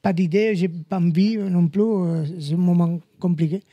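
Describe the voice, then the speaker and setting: A man with a Spanish accent, a guest painter talking about his work, hesitant and halting, often restarting his sentences. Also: high voice, fairly even intonation, dry recording, muffled recording. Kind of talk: casual chat.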